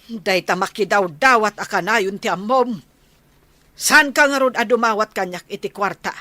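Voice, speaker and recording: A woman's voice: mid-range voice, normal speed, pushed and bright, no room sound, lightly acted. A woman playing an exasperated, nagging woman fed up with a freeloader, with an Ilocano accent, in a radio comedy drama. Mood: scolding. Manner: nagging, scornful.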